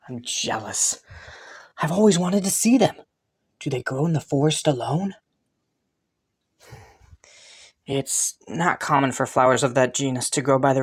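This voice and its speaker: A man playing a haughty, teasing young crown prince in a romantic fantasy audio roleplay, suspicious yet intrigued, with a smug manner. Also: medium pitch. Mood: wistful, curious. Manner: teasing, smug, chuckling and sighing between phrases.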